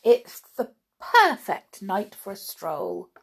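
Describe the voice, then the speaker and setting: A woman's voice: mid-range voice, big pitch swings, takes it slowly, creaky, breathy edge, heavily acted. A woman voicing a bold, kindly female mouse in a children's storybook, confident and encouraging. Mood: cheerful. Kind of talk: children's storybook read aloud.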